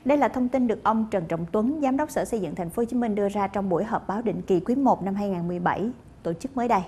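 A woman's voice: medium pitch, fast, dark tone, slight background noise. A woman in her thirties presenting the news from a TV studio, formally addressing viewers. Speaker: news anchor.

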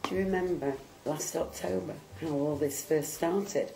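A woman's voice: low-pitched, normal speed, gravelly, music in the background. A woman chats casually about a past event, prompting and agreeing with her companions. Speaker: conversation partner prompting with questions. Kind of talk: casual reminiscing chat. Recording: roomy echo.

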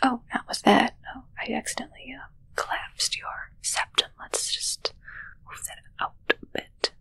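A woman's voice: a little high, steady tone, vocal fry, slightly rough, exaggerated voice. Whispering, possessive witch, acted by a woman. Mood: wry, teasing. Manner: hushed, teasing, unhurried delivery. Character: possessive, haughty female witch toying with her familiar. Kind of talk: whispered ASMR roleplay. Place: small dark draped room indoors. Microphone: close ASMR microphone.

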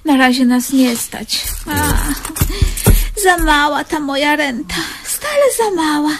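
An actress playing a fussy, devoted elderly pensioner in a comedy radio play, speaking hesitantly and stammering over repeated words. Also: female voice, high-pitched, lively intonation, slow pace, over music, heavily acted. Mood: fretful.

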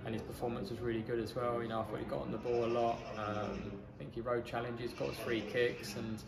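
A man, a football manager giving a measured, matter-of-fact post-match interview. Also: medium pitch, flat delivery, creaky. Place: outdoors in a small football ground stand. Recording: echoey stand with crowd noise.

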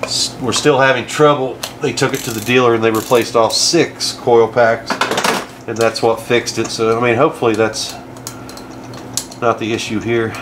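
A man with an average-pitched voice talks through a hands-on car repair in a casual, plain-spoken way. He speaks haltingly as he works over an engine bay. Clear background noise and tool clatter come through on the camera mic. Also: flat delivery. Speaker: DIY car repair channel host.

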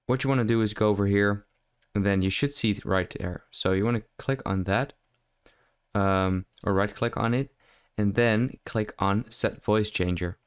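A man giving a casual software tutorial voice-over in a loosely improvised, hesitant way, close to the microphone. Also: on the low side, normal speed, clear, resonant tone, no echo. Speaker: tutorial host. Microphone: close mic, screen-recording voice-over.

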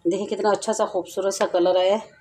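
Woman, home cooking host, chatty and repetitive, mid-pitched voice, faint background hiss. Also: steady tone, quick pace, warm tone. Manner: chatty, repeats herself, addresses viewers directly. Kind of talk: home cooking tutorial, casual explaining. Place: home kitchen, over a stovetop.

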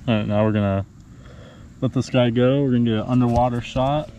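A man's voice: mid-range voice, brisk. A young man casually narrating a fishing trip on a river, coaching a friend as he goes. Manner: relaxed, chatty, coaching a friend. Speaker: fishing vlogger. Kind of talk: casual outdoor vlog. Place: river outdoors on a paddle board. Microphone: action camera on the board. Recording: outdoor camera audio with water noise. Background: flowing water.

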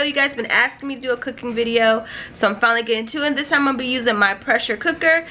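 A woman's voice: on the high side, sharp tone, a little hiss or hum. A woman in her thirties hosting a casual home cooking vlog, chatty and upbeat, talking straight to the camera. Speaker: cooking vlogger. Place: home kitchen and small room indoors. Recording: music playing underneath.

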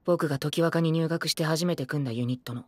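A man's voice: a little high, quick pace, darkish tone, a little hiss or hum, lightly acted. A man playing a bitter, self-deprecating teenage boy idol and former child star in a Japanese audio drama. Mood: flippant, sulky. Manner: cheeky, self-deprecating, theatrical.

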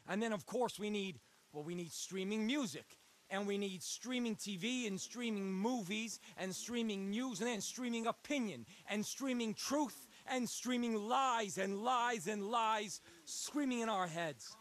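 An actor playing an intense, messianic cult leader in a video game, delivering a dramatic sermon with deliberate, repetitive rhetoric. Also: male voice, high voice. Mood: impassioned, scornful. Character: intense messianic male cult leader preaching to his flock.

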